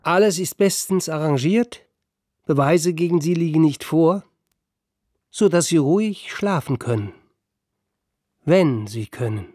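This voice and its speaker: Guarded doctor in a radio drama, highish mellow voice, formal, cool, disapproving. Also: male voice, animated, unhurried, long pauses. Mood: cool, pointed. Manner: formal, reserved. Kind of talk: German radio play acting.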